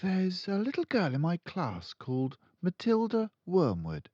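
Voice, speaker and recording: Guy doing a shy polite lady teacher in a kids story, really high voice. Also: huge pitch swings, a little slow, mellow, no echo, highs cut off, heavily acted. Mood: nervous, polite. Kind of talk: children's storybook read-aloud.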